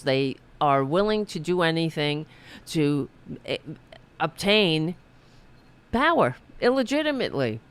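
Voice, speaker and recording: A man hosting a podcast, delivering an impassioned political commentary monologue with many restarts. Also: high-pitched. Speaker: podcast host.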